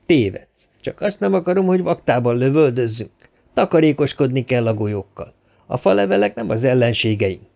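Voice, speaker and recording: A man's voice: mid-range voice, lively intonation, creaky, warm tone, dull audio. A man voicing a stern, commanding bearded gang leader in an audiobook, giving orders and firm arguments. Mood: firm, reasoning. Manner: barking orders, reasoning firmly.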